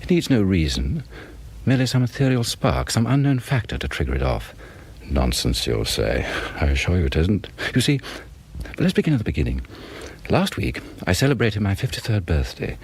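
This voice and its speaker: An actor in his fifties with a British accent playing a fussy, brooding husband in a radio drama, measured and confiding. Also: low voice, very animated, darkish tone, slightly rough, heavily acted. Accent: British English. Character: fussy middle-aged husband confessing a dark urge to kill.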